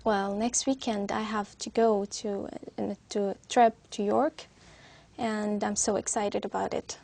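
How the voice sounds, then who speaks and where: Young Syrian woman, gravelly creak, flat pitch. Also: unhurried. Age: young adult. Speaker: student being interviewed as a speaking test candidate. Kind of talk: spoken exam answers.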